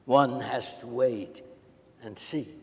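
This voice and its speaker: Male actor as humiliated teacher, gravelly, very slow, weighty pauses, reverberant hall, theatrical diary monologue. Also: medium pitch, warm tone. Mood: humiliated, weary. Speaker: stage reader in a dramatic reading. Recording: echoey hall with long reverb.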